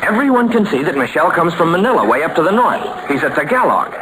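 An actor playing a steady, wary young all-American hero in a 1940s radio serial, speaking firmly and earnestly. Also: male voice, fairly high pitch, fast talker, over a noisy crowd. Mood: confiding. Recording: noisy old 1940s radio recording.

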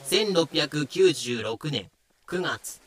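A man's voice: a little high, lively intonation, drawn-out words, fairly bright tone. A man narrating a scripted audio drama.

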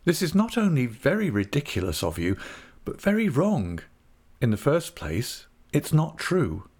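A man acting out an earnest young husband from a classic storybook. His mid-pitched voice moves up and down expressively as he gently coaxes, reasons and gravely lectures. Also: huge pitch swings, measured pace, exaggerated voice. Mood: gently remonstrating. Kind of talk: audiobook character voice.

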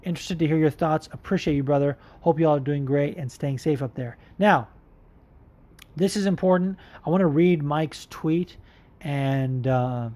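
A man's voice: fairly high pitch, normal speed, warm tone. A man hosting a casual podcast, answering listener questions about mixed martial arts in a candid, profane, rambling way. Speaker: podcast host.

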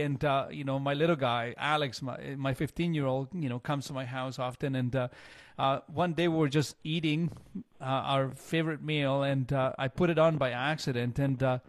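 A man's voice: on the high side, dry recording, clean studio sound. A man from Chile hosting a radio show in casual, conversational banter.

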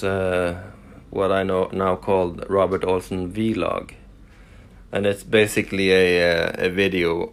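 A Norwegian-accented man with a low, deep voice talks through his first solo podcast at an unhurried pace. He speaks English as a second language and fills his pauses with frequent fillers and false starts. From Norway. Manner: hesitant, many fillers and restarts. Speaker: podcast host.

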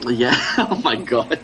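A man's voice: mid-range voice, a bit bright, slight background noise. A man in his twenties from Scotland, a drag performer chatting in a playful, self-mocking way and laughing often. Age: 20s. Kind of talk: casual comedic chat.

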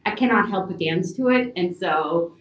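A woman from Venezuela, a podcast guest, answers in an animated, chatty way with many fillers. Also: lowish voice, moderate pace. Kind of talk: casual interview answers. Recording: roomy, distant remote mic.

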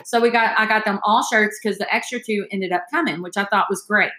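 A woman's voice: mid-range voice, brisk, bright, forceful tone, reverberant. A woman, hosting a podcast and telling stories chattily, calling her listeners y'all. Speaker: podcast host. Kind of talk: casual storytelling chat.

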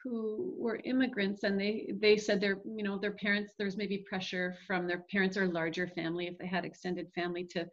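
A woman's voice: medium pitch, level tone, fairly quick, few pauses. A woman hosting a podcast, asking searching questions with many restarts. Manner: searching, restarts often, many listening sounds. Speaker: podcast host. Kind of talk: interview questions. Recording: dull lo-fi sound.

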